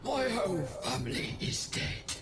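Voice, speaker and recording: An actor playing a tormented, lonely young prince gripped by dark power, speaking in an anguished, dramatic video game style. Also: mid-range voice, big pitch swings, unhurried. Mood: bitter, grieving. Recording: loud music behind the voice.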